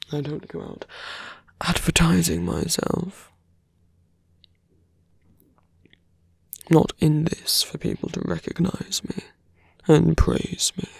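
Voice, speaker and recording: Someone acting out a slow, breathy, gravelly seductive villain. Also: medium pitch, dark tone, no room sound, clean studio sound, heavily acted. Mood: smug, teasing. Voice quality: breathy, often dropping to a whisper. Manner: slow, deliberate, taunting. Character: seductive villain, taunting a wounded hero, persuasive and menacing. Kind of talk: intimate ASMR roleplay acting.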